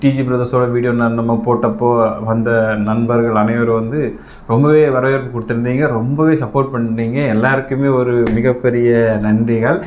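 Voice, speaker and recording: A man's voice: medium pitch, flat delivery, fairly quick, a little mellow. A man chatting casually to viewers as the host of a reaction channel. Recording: dull, roomy, faint background noise.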